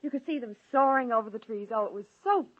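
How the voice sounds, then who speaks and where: A woman playing an airy, gently teasing wife and mother in a 1950s radio drama. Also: a little high, expressive, quick pace, dry recording. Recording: dry, dull lo-fi old radio recording.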